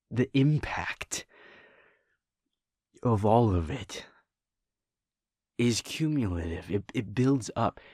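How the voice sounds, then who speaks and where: A man voicing a caring adult werewolf boyfriend in an audio roleplay, talking earnestly and softly, often close to a whisper. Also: lowish voice, husky, dry recording, heavily acted. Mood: frustrated, serious.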